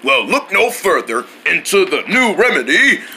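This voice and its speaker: A man voicing a pushy, upbeat infomercial pitchman in a cartoon parody commercial, stretching key words for emphasis. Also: sing-song, moderate pace, sharp tone, a little hiss or hum, full character voice. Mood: salesy.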